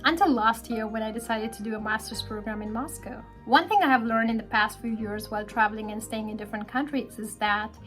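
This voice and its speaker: A woman from Pakistan, an English teacher, narrating a vlog in a friendly storytelling way. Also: fairly high pitch. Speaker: English teacher and speaking club organizer. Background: soft background music.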